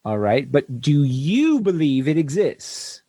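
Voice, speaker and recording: A man's voice: a little high, sing-song, takes it slowly, mellow, husky, full character voice. A man playing an exasperated, tactless human starship captain in a sci-fi radio comedy, blustering and pleading as he talks. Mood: frustrated.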